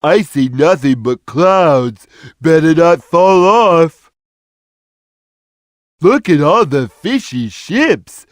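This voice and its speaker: A man's voice: fairly high pitch, expressive, no room sound. A man voicing a big, easygoing, simple-minded cat in a video game, with a childlike drawl. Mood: simple wonder. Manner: slow-witted, childlike, drawling. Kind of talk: video game character voice lines.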